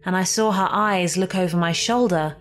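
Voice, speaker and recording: A woman reading a scripted ghost story as a horror podcast narrator, theatrical and suspenseful, with deliberate emphasis. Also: on the low side, heavy background noise. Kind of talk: scripted dramatic spooky narration. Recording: music behind the voice.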